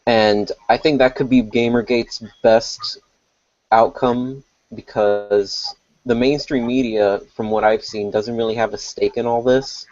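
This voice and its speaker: A man in a casual online panel chat, speaking in a measured way and stammering as he starts his thoughts. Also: medium pitch, fairly even intonation, a little mellow, no echo. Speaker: panel guest. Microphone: video call. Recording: dry, lo-fi online call audio.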